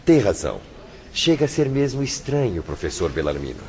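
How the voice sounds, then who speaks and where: An actor with a Brazilian accent playing a pious, courteous hospital attendant in a radio drama, speaking formally and preachily. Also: male voice, lowish voice, big pitch swings, fairly quick, darkish tone. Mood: wry, observing. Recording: dull lo-fi radio recording, faint noise.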